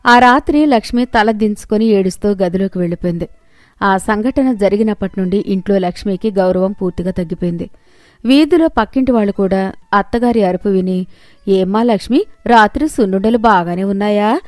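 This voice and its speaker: A woman's voice: medium pitch, brisk, a little mellow, dry recording. A woman reading a scripted moral story as its narrator.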